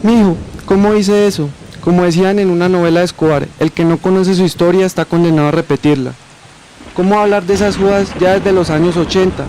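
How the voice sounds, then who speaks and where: A man's voice: high voice, steady tone, a little fast, darkish tone, a little hiss or hum, natural voice, rough recording. A man playing a humble, scolding old Colombian peasant grandfather with folksy rural speech in an amateur radio drama. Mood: scolding, reflective.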